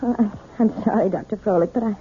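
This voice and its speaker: An actress playing a nervous, apologetic, timid wife, hesitant and stammering, in an old-time radio drama. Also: female voice, medium pitch, fast talker, a little hiss or hum, close to an everyday voice. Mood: anxious. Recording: tinny, band-limited vintage radio recording.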